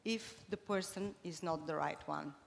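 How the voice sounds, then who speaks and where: A woman's voice: low-pitched, moderate pace, creaky. Bulgarian woman speaking in a reverberant hall. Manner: hesitant, many fillers and restarts. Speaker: conference speaker. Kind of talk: conference presentation. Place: large conference hall. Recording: reverberant hall, faint noise.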